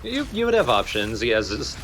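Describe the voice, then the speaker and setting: A man's voice: medium pitch, huge pitch swings, brisk, a bit bright, a little hiss or hum. A man giving casual, joking commentary alongside others playing a video game. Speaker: let's play co-commentator.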